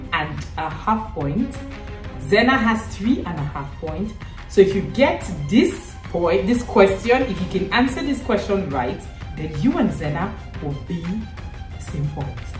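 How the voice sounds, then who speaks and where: A woman in her thirties from Cameroon, a vlogger mother hosting a casual family quiz at home in a playful, teasing way. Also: on the low side. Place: indoor living room at home. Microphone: camera set back from the speaker. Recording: echoey room, distant camera, faint music.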